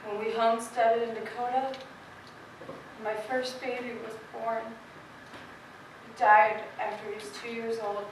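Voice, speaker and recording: Woman acting a timid, slow-spoken sheriff's wife onstage. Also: a little high, level tone, natural voice. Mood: sombre, haunted. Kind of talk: amateur stage play acting. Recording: echoey stage, distant mic, faint noise.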